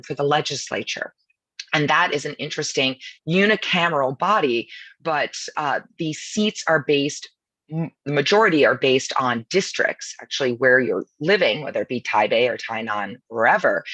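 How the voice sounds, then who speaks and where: A woman who is a law professor, speaking with a husky voice over a video call in a media briefing and explaining things in a brisk, informal way. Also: sits low, fairly bright tone, no room sound, rough recording. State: husky voice from nonstop talking.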